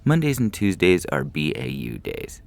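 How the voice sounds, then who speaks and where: A man voicing a calm, officious starship computer with a formal, unflappable manner in a sci-fi comedy audio story. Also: very deep voice, moderate pace, creaky, full character voice.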